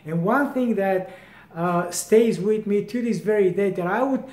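A man's voice: sits high, a little slow, darkish tone. A Bulgarian-accented man in his fifties, a brand founder speaking straight to camera at home in an earnest way, often restarting his phrases. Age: 50s. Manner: earnest, repeats and restarts phrases, gestures broadly with both hands. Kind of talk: direct-to-camera promotional talk. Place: home hallway indoors. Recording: echoey room.

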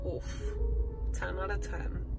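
A woman's voice: lowish voice, flat delivery, drawn-out words, vocal fry, over loud music. A young woman in her twenties chatting casually to a camera held at arm's length, slipping English phrases into her talk. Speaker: vlogger. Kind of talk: casual vlog chat. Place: bedroom, restaurant and car.

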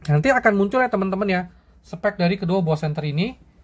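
A man's voice: sits high, lively intonation, quick pace. A young man casually reviewing and comparing flashlights, chatty and slangy, often tagging his sentences with friendly fillers. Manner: chatty, slangy, frequent "ya" and "teman-teman". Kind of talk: casual product review vlog.

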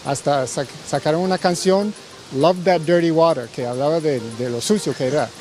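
A man's voice: fairly high pitch, moderate pace, darkish tone, rough recording. Older American man, reflective. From Massachusetts, United States. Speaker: environmental volunteer. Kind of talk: interview.

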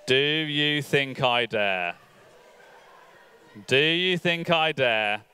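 Bright energetic man leading a church talk. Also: mid-range voice, smooth. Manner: energetic, coaxing volunteers, quick asides. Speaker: church leader. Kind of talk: lively all-age talk. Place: church hall stage. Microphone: handheld mic.

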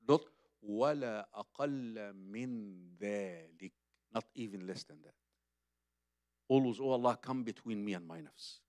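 A man's voice: medium pitch, a little mellow, dry recording. Older Egyptian man preaching. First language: Arabic. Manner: conversational, emphatic, repeats phrases, addresses audience directly. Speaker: Islamic preacher. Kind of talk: conference religious lecture. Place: conference hall stage, seated at table. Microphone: microphone on a boom stand.

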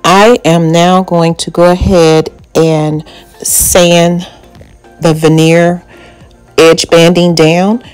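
Low-voiced woman narrating home DIY. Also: level tone, drawn-out words, darkish tone, clear, resonant tone, soft background music, rough recording. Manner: step-by-step explanatory, with filler ums. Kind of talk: voice-over narration of a DIY project.

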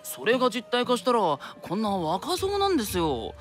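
A woman's voice: a little high, huge pitch swings, normal speed. A woman playing a cheerful, naive teenage boy shopkeeper in a radio drama, with polite, eager merchant patter. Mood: curious. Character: cheerful naive teenage boy, young secondhand-shop owner. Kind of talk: anime-style radio drama acting.

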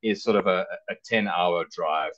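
A man's voice: low voice, normal speed, fairly bright tone. South African man, slightly dull call audio. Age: middle-aged. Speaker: travel guest, car rental company director. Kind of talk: casual interview talk. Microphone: headset on a video call.

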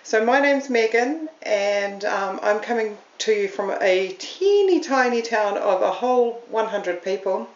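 A woman's voice: mid-range voice, animated, measured pace, few pauses. A middle-aged woman with a New Zealand accent, hosting a podcast in a chatty, self-deprecating way and laughing often. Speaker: podcast host. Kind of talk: casual solo talk to camera. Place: room at home. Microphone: camera on a stand. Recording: roomy, slightly dull home recording.

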